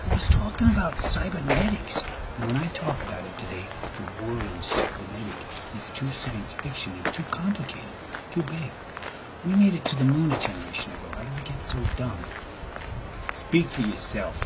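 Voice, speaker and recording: Slangy conspiracy ranter played by a man, rambling and agitated, mid pitch that jumps around, muffled under heavy noise. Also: close to an everyday voice. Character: ranting, crude, slangy man in a car arguing conspiracies. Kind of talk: scripted audio drama, casual dialogue. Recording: dull recording with loud background noise.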